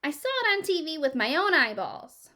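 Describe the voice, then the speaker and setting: Woman voicing emphatic little girl, wildly swooping pitch. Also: big pitch swings, moderate pace, sharp tone, full character voice. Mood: insistent, hollering. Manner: childlike, emphatic, exaggerated. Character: dramatic, stubborn, frightened little girl narrating storybook.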